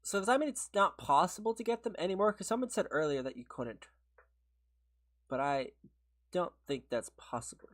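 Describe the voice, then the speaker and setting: Guy on a game stream, bit sick and sniffly, kinda high voice, rambly and laughy. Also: animated, normal speed. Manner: chatty and rambling, laughs often, answers chat. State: sick, sniffly, voice a little worn. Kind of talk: casual livestream chat while gaming, replying to viewers.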